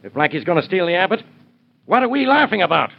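Grouchy hot-tempered 40s radio cop, sarcastic, highish voice, muffled old recording. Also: male voice, sing-song, quick pace, vocal fry, noisy background, full character voice. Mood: scoffing. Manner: blustering, sarcastic. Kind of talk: 1940s radio crime drama acting.

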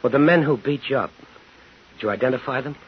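A man's voice: medium pitch, quick pace, a little hiss or hum, close to an everyday voice. An actor playing a determined, probing homecoming serviceman, terse and hard-boiled, in 1950s radio thriller style. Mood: urgent, demanding. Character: determined, probing man in uniform, bitter homecomer. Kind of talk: 1950s radio thriller acting and first-person narration. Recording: dull 1950s radio recording.

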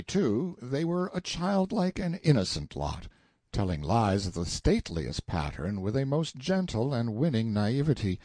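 A man narrating a literary novel as an audiobook, with a measured, expressive storytelling delivery and a slightly husky voice. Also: lowish voice, dry recording, clean studio sound.

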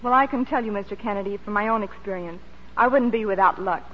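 A woman's voice: mid-range voice, quick pace. A young woman, an actress guest, speaking in a scripted 1940s radio interview. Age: young adult. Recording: dull, reverberant, faintly noisy old radio recording.

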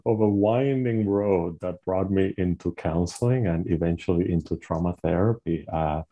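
A man's voice: sits low, normal speed, warm tone, dull audio. A middle-aged man from Puerto Rico, a clinical social worker being interviewed, who speaks thoughtfully and often restarts his phrases. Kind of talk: conversational interview.

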